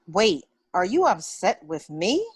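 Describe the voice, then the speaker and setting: An actress at a table read playing a candid, sympathetic office colleague. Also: female voice, mid-range voice, big pitch swings, slow pace, breathy edge, exaggerated voice. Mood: concerned, defensive.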